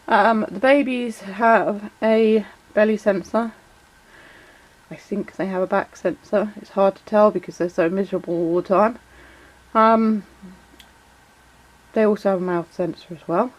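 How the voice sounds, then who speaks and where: A woman with a croaky voice and a sore throat from a cold, chatting casually as she explains toy features in a vlog. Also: mid-range voice, fairly even intonation, unhurried, long pauses, poor audio. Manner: chatty, many fillers, reads out shout-outs. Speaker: toy collector vlogger.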